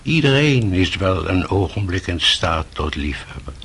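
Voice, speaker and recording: An actor playing a grave, kindly old holy monk in a radio play, speaking in a solemn, measured manner. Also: male voice, sits low, very animated, fairly bright tone, husky, full character voice.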